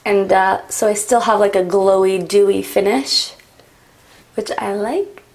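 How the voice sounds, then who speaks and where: A young woman with a raspy voice, chatting casually while doing her makeup, rambling with lots of "like" and "um". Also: medium pitch, steady tone, measured pace, a little mellow. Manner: rambling, frequent "like" and "um". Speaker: beauty vlogger. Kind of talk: chatty get-ready-with-me talk.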